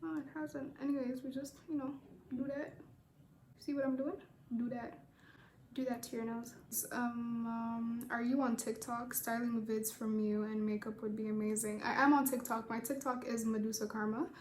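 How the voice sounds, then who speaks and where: A young woman with a somewhat high voice talks in a flat, even way while she does her makeup and answers questions, easygoing and rambling, swearing casually. Her voice bounces off the walls of an echoey room, with a faint hiss underneath. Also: moderate pace. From Rwanda. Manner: laid-back, rambling, swears casually, many fillers. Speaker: beauty vlogger. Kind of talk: casual get-ready-with-me makeup chat and Q&A.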